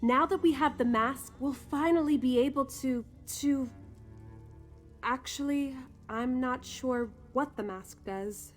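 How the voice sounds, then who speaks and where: A woman's voice: high-pitched, takes it slowly, soft background music. A woman playing an earnest, eager young female fighter in a video game, talking in a conversational way. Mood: impressed, hopeful. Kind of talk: scripted video game character dialogue.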